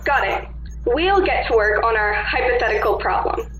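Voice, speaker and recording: A woman's voice: a little high, expressive, normal speed, fairly bright tone, a little hiss or hum. A woman playing a feisty, sarcastic young engineer in a sci-fi radio play, with a wry, emphatic delivery. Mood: wry, determined. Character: feisty, sarcastic girl engineer on a colony ship. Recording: dull, echoey room recording.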